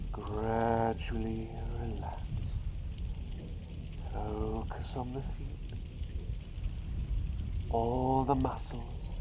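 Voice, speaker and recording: An eccentric, kindly doctor who has become a talking bird, acted out by a man, speaks very slowly over clear background noise. The delivery is soft ASMR roleplay, playful and soothing, slipping in and out of whispers. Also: mid-range voice. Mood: soothing, drowsy. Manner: playful, avuncular British-style phrasing, with drawn-out repetitions.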